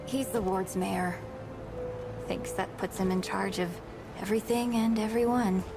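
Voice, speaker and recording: An actress playing a tough, dry-humored survivor with an understated manner in a video game cutscene. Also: female voice, medium pitch, fairly even intonation, measured pace, creaky, over loud music. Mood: weary, dismissive.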